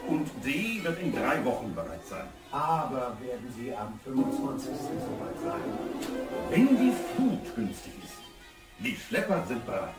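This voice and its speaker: An actor playing a businesslike German plotter in a radio play, speaking in a clipped way. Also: male voice, medium pitch, huge pitch swings, moderate pace, long pauses. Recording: distant, echoey, under loud music.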